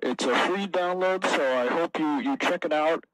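A man voicing a goofy male cartoon gorilla stumbling through a sales pitch. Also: moderate pace, noisy background, close to an everyday voice. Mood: salesy.

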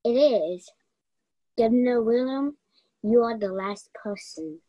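A woman's voice: fairly high pitch, slow, warm tone, no echo, dull audio. A young woman playing an insistent murder investigator in an amateur radio play. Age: young adult.

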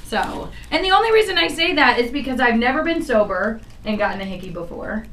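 A woman in her thirties chatting casually on air as a radio host, animated and self-conscious. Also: a little high, a little fast. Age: 30s. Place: small radio studio, indoors. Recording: echoey radio studio, distant mic, noisy.

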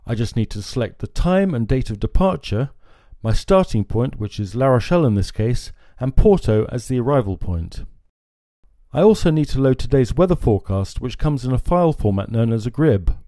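Man with a lively, warm voice. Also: mid-range voice, normal speed, no room sound, studio quality. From France. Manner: steady, informative, gestures at screens. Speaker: marine electronics presenter. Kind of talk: scripted product explainer. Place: small indoor showroom. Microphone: lapel mic.

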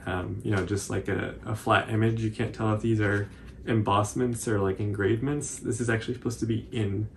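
Young man co-hosting a how-to, lowish voice with vocal fry, easygoing hesitant wording, slight background noise. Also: steady tone, brisk. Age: young adult. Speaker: cosplay tutorial co-host. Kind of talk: casual explanatory chat. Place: small furnished room indoors, on a couch.

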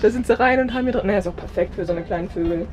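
A woman's voice: mid-range voice, rapid-fire. Cheerful woman chatting on a sailboat. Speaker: sailing vlogger, co-host. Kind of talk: casual vlog chat. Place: inside a sailboat cabin. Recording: background music throughout.

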